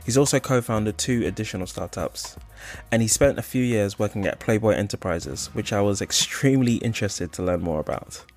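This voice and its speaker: A man's voice: lowish voice, a little fast, soft background music. Upbeat male podcast host. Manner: upbeat, friendly, eager. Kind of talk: scripted podcast intro and interview.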